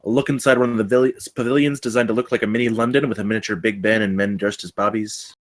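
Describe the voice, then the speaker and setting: Quick-reading male script narrator. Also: fairly low pitch, steady tone. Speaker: narrator reading stage directions. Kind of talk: scripted narration at a table read.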